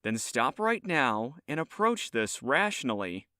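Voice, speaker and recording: A man's voice: medium pitch, big pitch swings, measured pace, bright, forceful tone, no echo, clean studio sound. A man playing an exasperated eldest elf brother in a fantasy audio drama, speaking formally and articulately.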